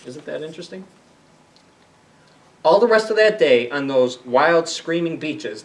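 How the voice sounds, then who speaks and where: A man reading a storybook aloud to children in an animated way, pausing to explain simply and ask questions. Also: mid-range voice, far from the mic. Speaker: library story time reader.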